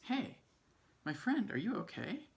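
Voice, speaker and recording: An anxious little storybook ant, put on by a man reading aloud. The voice lifts well above an everyday male pitch and exclaims with urgent concern and astonishment. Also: very animated, a little slow, creaky, slight background noise, full character voice. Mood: worried. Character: anxious, astonished fellow ants calling up to a friend.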